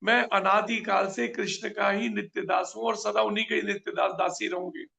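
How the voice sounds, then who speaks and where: Male preacher, raspy fry-heavy voice, pitched up, earnest and questioning. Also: level tone, normal speed, pushed and bright. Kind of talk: scripture class.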